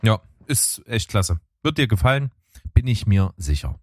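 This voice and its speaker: A man's voice: on the low side, sing-song, unhurried, darkish tone, dry recording, studio quality. A man chatting casually about films and trailers as a co-host, rambling with many fillers. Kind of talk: casual film chat.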